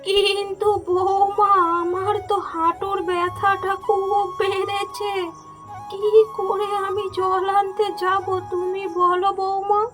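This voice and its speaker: A woman's voice: very high voice, flat delivery, slow, a bit bright, full character voice. A woman voicing a meek, long-suffering elderly mother-in-law in a cartoon folk tale. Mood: pleading. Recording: music behind the voice.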